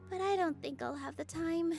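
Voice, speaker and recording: An actress playing a sweet, modest young theater dancer in a video game, gentle, polite and earnest. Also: female voice, very high voice, normal speed, gravelly. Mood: apologetic. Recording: music playing behind the voice.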